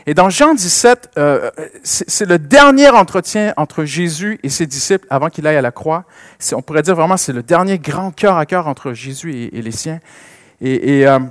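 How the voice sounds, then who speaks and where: A man's voice: a little high, animated, normal speed, no room sound, studio quality. A man with a French Canadian accent preaching a sermon with personal stories, emphasizing and repeating key phrases.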